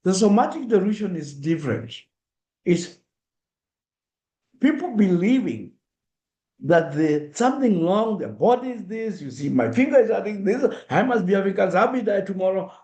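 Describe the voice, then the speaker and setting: A middle-aged man with a Kenyan accent talks earnestly and directly to a community gathering, slipping into first-person examples. His voice is mellow and sits a little high. The sound carries the echo of a roomy hall. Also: very animated, normal speed. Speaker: speaker at a diaspora awareness event. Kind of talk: public talk. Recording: echoey room.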